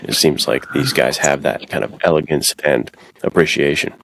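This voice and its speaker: A male podcast co-host with an extremely low, creaky voice. He chats casually and informally, sounding close and dry on the recording. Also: normal speed, a bit bright, slight background noise. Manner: informal, conversational phrasing.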